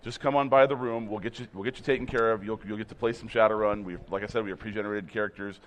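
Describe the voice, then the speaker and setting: A hoarse man leading a game demo team, talking casually with many restarts. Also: steady tone, very fast, low-quality recording. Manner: rambling, many restarts, upbeat pitch. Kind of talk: casual chat.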